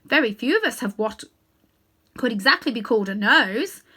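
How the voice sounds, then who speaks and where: A woman's voice: on the high side, animated, normal speed, sharp tone, breathy edge, exaggerated voice. A woman voicing a large, thoughtful she-elephant in a storybook, prim and mild.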